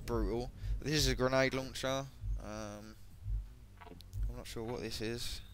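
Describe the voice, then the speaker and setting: A man giving casual, hesitant commentary on game equipment, his voice a bit croaky, with many ums and self-corrections. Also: mid-range voice, fairly even intonation, unhurried. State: voice going croaky. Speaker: gaming video creator.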